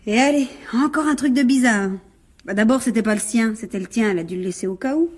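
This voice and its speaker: An actress in a radio drama playing a brisk, slangy young woman who teases and loses patience easily. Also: fairly high pitch, darkish tone, close to an everyday voice. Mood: teasing, dismissive. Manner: slangy, teasing, exasperated. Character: brisk, mocking young woman, impatient with her anxious flatmate.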